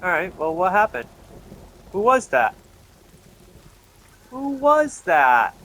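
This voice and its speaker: Bright-voiced man doing casual game commentary. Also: high voice, sing-song, slow pace. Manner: thinking aloud, muttering to himself. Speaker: gameplay streamer. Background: faint game music.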